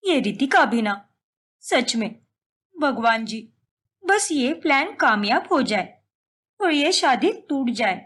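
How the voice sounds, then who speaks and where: A scheming young sister-in-law from a cartoon family melodrama, acted by a woman. The voice is high and very animated, pushing its points with urgent, dramatic insistence. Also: takes it slowly, long pauses, heavily acted. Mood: anxious, muttering.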